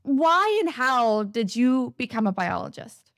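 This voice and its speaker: An enthusiastic woman hosts a casual podcast interview, her voice a touch high and bright in tone. She talks quickly and eagerly between questions. The sound is dry and close, with no room around it. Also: big pitch swings, no echo. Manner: upbeat, enthusiastic, fast-talking. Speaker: podcast host.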